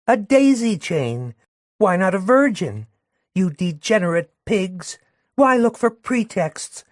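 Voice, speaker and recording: A man's voice: big pitch swings, slow pace, no echo, clean studio sound, exaggerated voice. A man voicing a furious young working-class woman who rails and jeers with scorn. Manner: shrill, jeering, railing.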